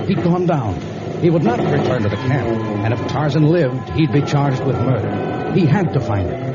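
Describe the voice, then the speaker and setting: An actor as the grave, urgent narrator of a jungle adventure in a vintage radio serial. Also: male voice, mid-range voice, expressive, moderate pace, over loud music, natural voice. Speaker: narrator and announcer. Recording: muffled old radio recording.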